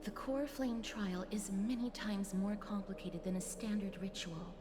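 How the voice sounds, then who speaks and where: An actress playing a cautious, courteous young noblewoman in a video game, speaking softly and formally. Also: medium pitch, a little slow, a little hiss or hum, lightly acted. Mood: cautious warning. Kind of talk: dramatic video game voice acting.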